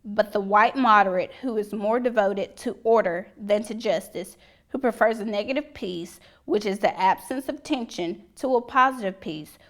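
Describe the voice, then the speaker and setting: Mid-pitched woman reading deliberately. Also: fairly bright tone. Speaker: reader at a commemorative public reading. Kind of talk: reading a historic letter aloud.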